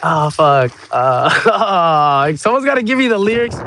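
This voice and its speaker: A man's voice: fairly high pitch, big pitch swings, unhurried, background noise. Profane, rambling Cuban man on the water. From Cuba. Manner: profane, rambling, stoned banter with viewers. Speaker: livestreamer. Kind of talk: rambling livestream chat. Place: outdoors on open water in a small boat. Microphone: phone.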